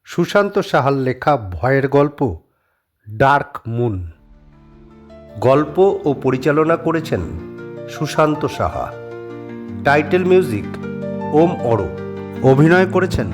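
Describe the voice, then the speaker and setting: A man narrating a horror audio story and its credits in a calm, measured storytelling manner. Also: mid-range voice. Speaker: narrator and director.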